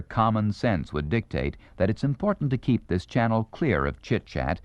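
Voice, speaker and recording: A man's voice: fairly low pitch, a little mellow, dry recording. An American man reading formal, authoritative scripted narration for a 1970s instructional record. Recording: slightly dull, dated record sound.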